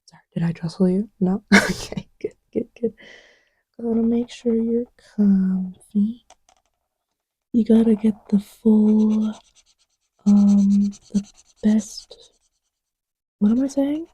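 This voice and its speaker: A woman's voice: mid-range voice, steady tone, takes it slowly, long pauses, mellow, husky, no echo, rough recording. A woman chatting casually and intimately in a playful, rambling, teasing way, often dropping to a near-whisper, as if to a partner beside her. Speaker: audio roleplay creator playing a partner to the listener. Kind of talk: casual intimate roleplay chat while testing markers.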